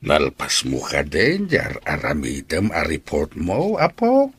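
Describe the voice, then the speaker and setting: An actor playing a kindly old grandfather in a radio drama, husky-voiced, with a Filipino accent. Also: rumbling low, wildly swooping pitch, slow, a bit bright, full character voice. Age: older.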